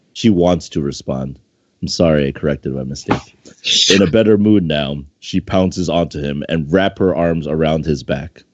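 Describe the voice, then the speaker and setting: A man's voice: low-pitched, dark tone. A man reading fan fiction aloud in an amateur, casual way, stumbling over the text and commenting on it. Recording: dull, roomy home microphone recording.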